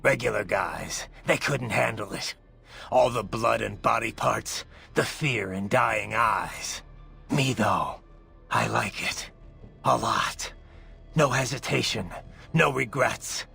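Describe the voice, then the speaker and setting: A man voicing a brooding, bloodthirsty cyborg soldier in a video game, with a menacing gravelly growl and intense, clipped phrases. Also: lowish voice, sharp tone, heavily acted. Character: brooding, bloodthirsty male cyborg soldier, haunted former child soldier. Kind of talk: dramatic video game voice acting. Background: music.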